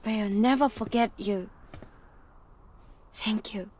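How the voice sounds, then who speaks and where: An actress playing a tender, teasing young woman who speaks softly and slowly in a video game cutscene. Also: mid-range voice, noisy background, lightly acted. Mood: pleading. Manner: soft, slow and affectionate.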